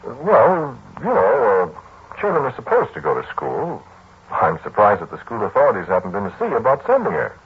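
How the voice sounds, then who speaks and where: A man's voice: lowish voice, huge pitch swings, moderate pace, husky, narrow, tinny audio, heavily acted. An actor playing a brooding young lodger, a mathematician, confiding his story in 1940s radio drama style on an old broadcast recording. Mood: concerned, insistent. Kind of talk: 1940s radio acting, first-person narration and dialogue.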